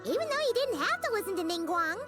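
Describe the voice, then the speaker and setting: A woman voicing a tiny, excitable childlike companion creature in a video game, bubbly and exclamatory. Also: very high-pitched, quick pace. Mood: curious, cheeky. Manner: bubbly, exclamatory, speaks of self in third person. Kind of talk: video game character acting. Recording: loud music behind.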